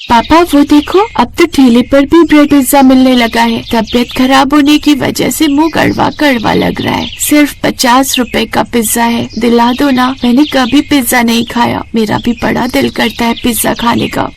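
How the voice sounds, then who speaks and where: A woman's voice: fairly high pitch, steady tone, moderate pace, vocal fry, few pauses, a little hiss or hum. A woman playing a sweet, eager young daughter in a children's story. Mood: pleading.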